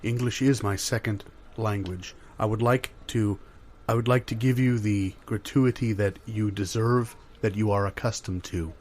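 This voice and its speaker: A man improvising a comedy role as a flustered foreign restaurant customer, halting, formal, apologetic and deferential. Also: fairly low pitch, steady tone, a little mellow, a little hiss or hum, close to an everyday voice.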